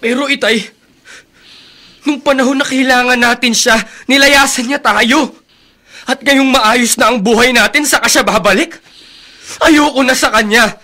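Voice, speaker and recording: Very high-pitched, forceful young Filipino son. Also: male voice, steady tone, measured pace, pauses often, exaggerated voice. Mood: bitter, accusing. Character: bitter, unforgiving grown son, young professional. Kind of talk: Filipino radio drama acting.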